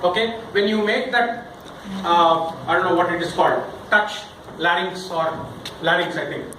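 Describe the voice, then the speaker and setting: A man with an Indian accent giving an interactive public speaking training talk, jokey and animated, often checking with his listeners. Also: sits high, moderate pace. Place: indoor room in front of a live group. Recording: very echoey room, distant mic, noisy.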